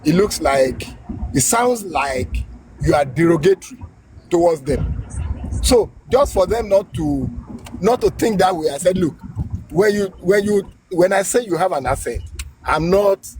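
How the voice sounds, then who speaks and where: A man's voice: high-pitched, lively intonation, a little slow, a little mellow, poor audio. Nigerian man with a faint hiss behind. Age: adult graduate student. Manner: laughs at himself, repeats phrases, agrees briefly. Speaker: guest. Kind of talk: casual storytelling.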